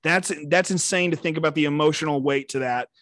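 Texan guy rambling on a podcast, kinda higher voice, laughs a lot, dry sound. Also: a little fast, no room sound. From Texas. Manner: excitable, rambling, laughs often. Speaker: podcast co-host. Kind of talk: casual chat.

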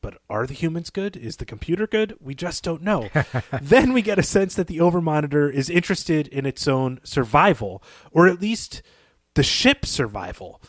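A man's voice: fairly high pitch, big pitch swings, normal speed, no echo, studio quality. A man co-hosting a podcast, talking through literary analysis in a conversational style. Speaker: podcast host.

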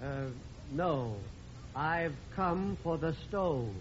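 An actor playing a stern, accusing official in 1940s radio comedy, speaking formally and firmly. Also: male voice, fairly high pitch, drawn-out words. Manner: formal, firm. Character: stern, accusing official come to reclaim a prize. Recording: muffled, noisy old radio recording.

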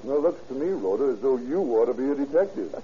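An actor playing a plain-spoken American police detective in an old-time radio drama. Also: male voice, mid-range voice, animated, fast, close to an everyday voice. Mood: puzzled. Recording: muffled, hissy old radio recording.

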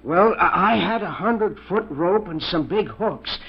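An actor playing a weird, superstitious old prospector with rustic, ungrammatical speech in a 1940s radio mystery. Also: male voice, high-pitched, animated, measured pace, gravelly, slightly rough, exaggerated voice. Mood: haunted, recounting. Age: older. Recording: dull 1940s radio recording.

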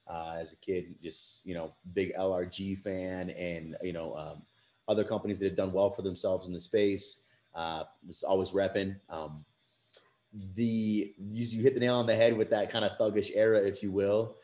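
A man's voice: sits low, fairly even intonation, normal speed, darkish tone. A man in his thirties from the western US, a friendly podcast guest who laughs easily. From western USA. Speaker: guest, business co-owner. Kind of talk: casual chat.

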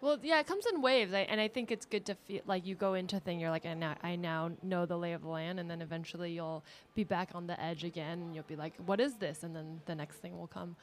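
A woman hosting a podcast in casual conversation, encouraging and quick to laugh. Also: on the low side, slight background noise. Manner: encouraging, laughs often, short backchannels. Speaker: podcast host. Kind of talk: casual chat.

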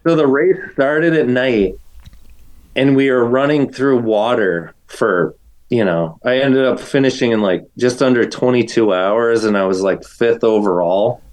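A man's voice: mid-range voice, measured pace, a little mellow. Rambling, self-mocking man in his forties. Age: 40s. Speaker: guest runner. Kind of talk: casual chat, telling stories.

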